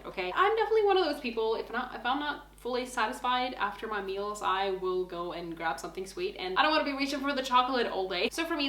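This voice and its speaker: A woman's voice: mid-range voice, fairly bright tone, reverberant, a little hiss or hum. A Norwegian woman in her thirties with an accent leaning American, chatting candidly to camera with a self-deprecating, chatty manner. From Norway. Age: 30s. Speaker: vlogger. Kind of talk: casual chat to camera.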